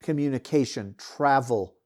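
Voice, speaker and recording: A man's voice: mid-range voice, measured pace. Rambling candid middle-aged man. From United States. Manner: rambling, candid, wide swings in loudness. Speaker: podcast host and author. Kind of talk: casual solo talk.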